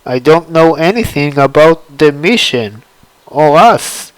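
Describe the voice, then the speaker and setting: A man doing a baffled young man who speaks slowly, in a clear, smooth voice. He sounds defensive and lost, and his words come out dry and close. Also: a little high, expressive, dry recording, poor audio. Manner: bewildered, defensive.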